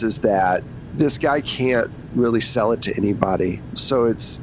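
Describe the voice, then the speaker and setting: A man playing a composed, businesslike female FBI agent in her thirties in a tabletop game, matter-of-fact and persuasive. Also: low-quality recording. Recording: noticeable low rumbling background noise.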